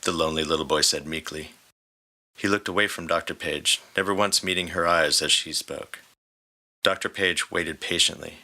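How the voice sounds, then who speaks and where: A man's voice: extremely low pitch, steady tone, normal speed, bright, forceful tone. A man reading scripted story narration as the narrator. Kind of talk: scripted fan fiction narration.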